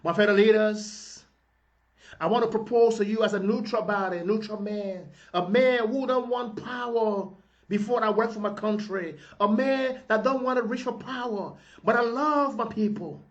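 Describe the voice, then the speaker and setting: High-voiced man preaching a fervent address. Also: normal speed, muffled recording. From Liberia. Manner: preacherly, repetitive rhetorical appeals. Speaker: political advocate addressing compatriots. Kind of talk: impassioned video address.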